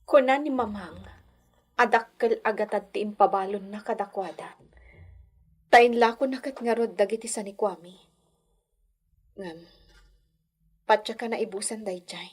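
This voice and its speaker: A woman's voice: mid-range voice, unhurried, long pauses, slightly rough. A woman playing an anxious, pleading mother who longs for her children in a radio drama, plaintive and earnest. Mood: plaintive, worried. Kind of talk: Ilocano radio drama acting.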